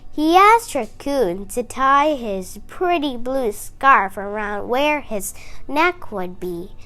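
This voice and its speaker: Brief: woman storyteller for young readers, high voice, lively pitch, very deliberate. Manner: slow, expressive, emphatic. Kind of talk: children's book read-aloud.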